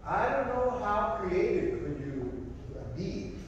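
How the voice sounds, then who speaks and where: High-voiced Salvadoran missionary in echoey hall. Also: male voice, slow pace, distant mic, highs cut off, a little hiss or hum. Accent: Salvadoran Spanish. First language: Spanish. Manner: animated storytelling, gesturing while pacing. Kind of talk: sermon. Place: large church fellowship hall. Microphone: far camera, no mic.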